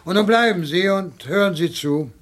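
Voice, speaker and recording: An actor playing an older, eccentric colonel in a radio crime drama, genial and boastful, savouring his words in a theatrical, deliberate manner. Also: sits high, a bit bright, slight background noise. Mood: sly, commanding.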